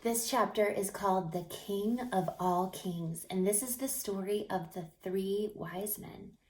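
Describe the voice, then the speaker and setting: A woman reading a children's story aloud with dramatic expression, stretching words and dropping into hushed passages. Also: medium pitch, unhurried, low-quality recording. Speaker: storybook reader.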